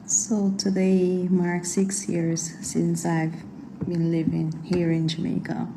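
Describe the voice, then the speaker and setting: A woman vlogging in a slow, unhurried casual chat with "um" pauses. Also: lowish voice, monotone, noisy background. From Guyana.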